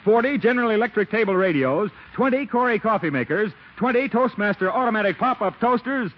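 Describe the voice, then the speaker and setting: A man's voice: high-pitched, expressive, brisk. An American man proclaiming prizes in a punchy 1940s radio commercial style. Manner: punchy, proclaiming list delivery. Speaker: radio announcer. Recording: dull 1940s radio recording.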